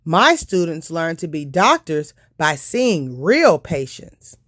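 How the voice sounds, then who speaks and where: Woman reading as a patient, lively-toned kindly doctor. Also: low-pitched, slow pace, no room sound, clean studio sound, heavily acted. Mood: patient, explanatory. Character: kindly, reassuring male children's doctor explaining his work.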